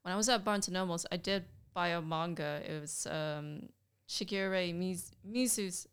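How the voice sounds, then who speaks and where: Young woman co-host, smooth and fairly low, rambly and unsure, lots of fillers. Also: normal speed. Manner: rambling, hesitant, many fillers. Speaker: podcast co-host. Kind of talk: casual chat.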